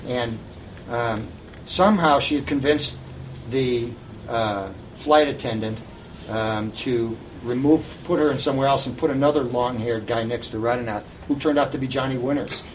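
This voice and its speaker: A man's voice: medium pitch, normal speed, recorded from a distance, slight background noise, low-quality recording. An older man telling informal anecdotes to a live audience, recorded at an event. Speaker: guest storyteller at a reunion event.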